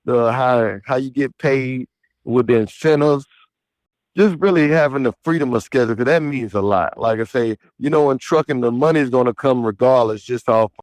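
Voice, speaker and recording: A man's voice: mid-range voice, darkish tone, no room sound, rough recording. Casual thirty-something trucker man. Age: 30s. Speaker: truck driver guest. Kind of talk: casual phone interview. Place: outdoors at a trick-or-treat event.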